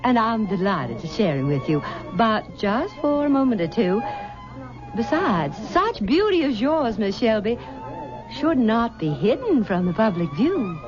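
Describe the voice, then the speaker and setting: A woman's voice: a little high, very animated, unhurried, music in the background. An actress playing a middle-aged, possessive society mother who speaks in a genteel, coy way, on a lo-fi 1970s radio drama recording.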